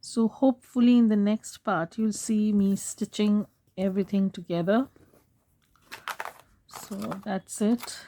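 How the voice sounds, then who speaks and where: A woman hosting a craft tutorial, talking casually while she works, her voice hoarse and fading, and she keeps clearing her throat. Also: mid-range voice, steady tone. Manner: soft, unhurried, frequent throat clearing. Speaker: craft channel host.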